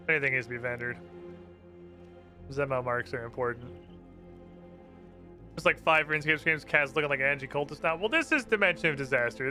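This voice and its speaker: A man's voice: medium pitch, sharp tone. A man streaming a game, chatting casually, muttering to himself and swearing freely.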